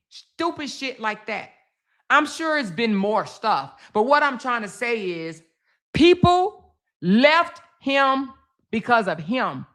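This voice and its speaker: A woman's voice: on the high side, a bit bright. A woman hosting her own commentary channel, talking bluntly and profanely, hammering points home by repeating them. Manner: blunt, profane, emphatic with repetition. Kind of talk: casual social media commentary.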